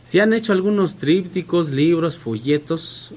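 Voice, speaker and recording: A man's voice: on the high side, expressive, unhurried, darkish tone. Man hosting radio, Mixe native. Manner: hesitant, with frequent fillers. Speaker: radio host. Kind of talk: radio conversation.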